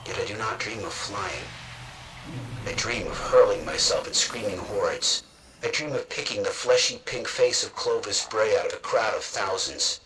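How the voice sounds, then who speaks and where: A man's voice: lowish voice, pushed and bright, slight background noise, rough recording. A man playing a haunted, vengeful android warrior in a formal, declamatory game monologue.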